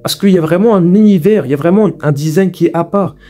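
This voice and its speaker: A man's voice: high voice, quick pace, warm tone, faint music behind. A young man hosting a video essay, giving informal, opinionated commentary in an emphatic, repetitive, colloquial way.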